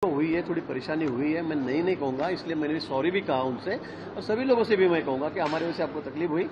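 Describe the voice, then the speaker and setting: A man speaking rapidly and apologetically in a played clip. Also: fairly high pitch, highs cut off, background noise.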